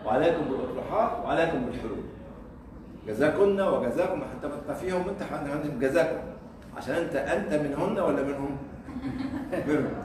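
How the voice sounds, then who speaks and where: An Egyptian man with an Egyptian accent giving an informal university lecture, throwing out rhetorical questions, repeating himself and chuckling. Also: on the high side. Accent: Egyptian Arabic. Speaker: guest lecturer. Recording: echoey room, mic far off, faint noise.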